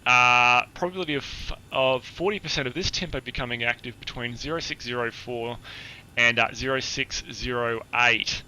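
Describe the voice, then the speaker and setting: A hoarse, husky-voiced man giving a chatty, explanatory flight briefing on a live stream, with many filler words. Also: mid-range voice, fairly even intonation, moderate pace, sharp tone, a little hiss or hum, low-quality recording.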